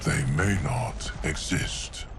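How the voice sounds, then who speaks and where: An actor playing a calm, loyal steward of time in a video game, speaking in a measured, formal way. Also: male voice, rumbling low, background noise. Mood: calm, assured. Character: calm, loyal male steward guardian of time's hourglass. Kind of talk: dramatic video game cutscene acting.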